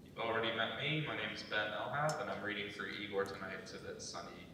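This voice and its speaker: Young guy w a really low voice introducing an event, far from mic, noisy. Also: steady tone, fairly quick. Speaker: club host introducing a live script reading. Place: large echoey indoor room, live event. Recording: echoey room, distant mic, clear background noise.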